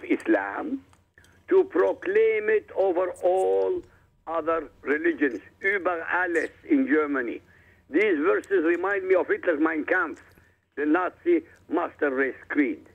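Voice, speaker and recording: A man's voice: high-pitched, vocal fry, husky. A man whose first language is Arabic, a guest author speaking emphatically and combatively in a radio interview. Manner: emphatic, combative, addresses listeners directly. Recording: tinny phone line.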